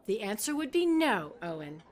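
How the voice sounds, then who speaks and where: A woman's voice: mid-range voice, sing-song, moderate pace, lightly acted. An actress playing a concerned middle-aged mother, firm and coaxing, in a naturalistic web drama.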